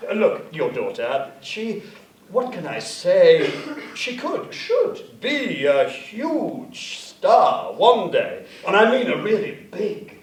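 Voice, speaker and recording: An actor in a live radio theatre parody playing a pompous, grandiose film director who pleads and stammers when cornered. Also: male voice, sits high, sing-song, slow, full character voice. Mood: flustered, blustering. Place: arts centre stage, live performance. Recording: echoey live theatre recording, distant microphone.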